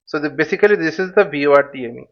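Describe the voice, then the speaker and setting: A man's voice: a little high, lively intonation, rapid-fire, highs cut off. A man giving an unscripted step-by-step tutorial, speaking hesitantly, repeating himself and checking that the listener follows. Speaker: flight simulator tutorial instructor.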